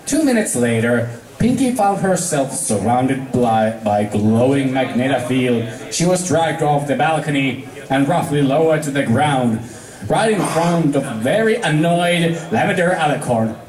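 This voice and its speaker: A man's voice: medium pitch, lively intonation, measured pace, a little mellow, reverberant, low-quality recording. A man reading fan fiction aloud as storybook narration, an amateur who halts and stumbles over words.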